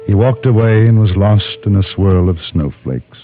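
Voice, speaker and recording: Casting: male actor as measured frontier narrator, very low voice, vintage radio recording over music. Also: expressive, narrow, tinny audio, close to an everyday voice. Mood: somber. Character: composed, literate narrator of frontier tales. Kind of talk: scripted first-person radio narration. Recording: band-limited 1950s radio recording.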